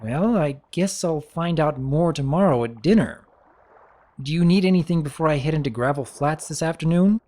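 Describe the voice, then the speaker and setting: A man's voice: a little high, moderate pace, warm tone, voice pushed up, natural voice. A man voicing a polite, earnest, easily bewildered pony baron in a dramatic story reading, with a hesitant, courteous manner.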